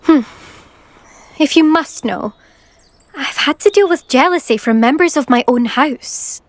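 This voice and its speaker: A woman's voice: high voice, animated, a little slow, dry recording. A woman playing a boastful, brusque teenage British girl, a competitive broom racer in a video game. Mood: boastful, scornful. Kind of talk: video game character dialogue. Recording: game music behind. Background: game music.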